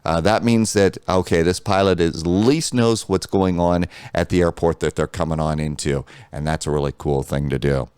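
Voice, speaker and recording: Deep-voiced American man giving a casual tutorial. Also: fairly even intonation, normal speed, hardly any pauses, darkish tone, clean tone, dry recording, studio quality. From United States. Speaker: flight simulator tutorial host.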